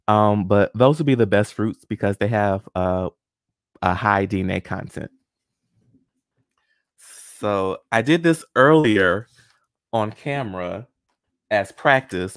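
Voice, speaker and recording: A man's voice: lowish voice, clean tone, no echo, studio quality. A man in his forties, a guest scientist, friendly and step by step, walking viewers through a hands-on experiment at home. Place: small home room. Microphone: studio condenser mic with pop filter and headphones.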